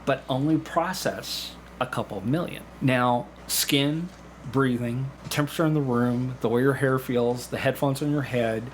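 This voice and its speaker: A middle-aged man speaking as an interview guest, explaining things conversationally with pauses mid-sentence. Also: medium pitch, a little hiss or hum. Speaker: podcast guest, actor and hypnotist.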